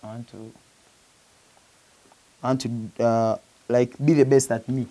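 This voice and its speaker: A man's voice: medium pitch, fairly even intonation, measured pace, warm tone, rough recording. A man from Uganda, a musician being interviewed, talking earnestly in a casual chat and often saying "you know" as he restarts phrases. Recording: faint background hiss.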